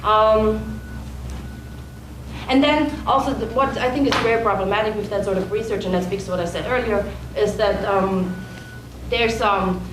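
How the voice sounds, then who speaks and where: A woman in a lecture audience comments in a halting way, full of restarts and fillers. She is picked up from a distance in a reverberant room. A clear hiss of background noise runs under her voice. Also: mid-range voice. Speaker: audience member. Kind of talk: question-and-answer comment. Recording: echoey room, distant, noisy.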